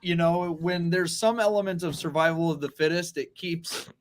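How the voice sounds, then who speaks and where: A man's voice: on the high side, moderate pace. An American man co-hosting a morning show, chatting casually in an easygoing, good-humored way. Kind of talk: casual chat.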